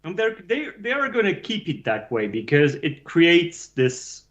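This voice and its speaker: A man's voice: on the high side, sing-song, unhurried, muffled recording, a crowd in the background. A man on a board game panel, chatting casually in a dry, teasing way. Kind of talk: casual chat.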